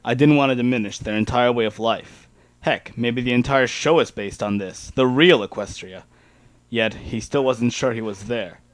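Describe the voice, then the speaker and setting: A man's voice: mid-range voice, normal speed, no echo. A man reading first-person fan fiction aloud as an amateur dramatic narrator.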